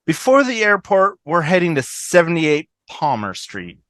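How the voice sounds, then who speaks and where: A woman's voice: fairly low pitch, big pitch swings, normal speed, no echo. A woman reading a sarcastic, easygoing woman in a sitcom table read. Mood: breezy sarcasm. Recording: home video call reading.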